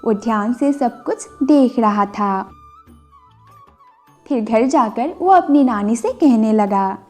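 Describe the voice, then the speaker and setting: A woman's voice: fairly high pitch, animated, slow, darkish tone. A woman narrating a scripted moral bedtime story as a storyteller. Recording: music bed under the voice. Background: background music.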